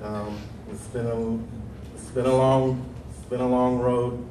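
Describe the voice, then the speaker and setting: Deep-voiced man speaking slowly and haltingly. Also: little pitch movement, roomy sound, distant mic, noisy background. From Stockton, California. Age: 30s. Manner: hesitant, many ums and pauses. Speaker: panel speaker. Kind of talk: nervous personal testimony. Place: large hall.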